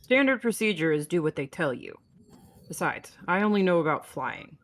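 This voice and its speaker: A woman's voice: on the low side, sing-song, normal speed. A woman playing a weary, matter-of-fact spaceship captain with a terse, resigned delivery in a sci-fi table read. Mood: resigned, defensive.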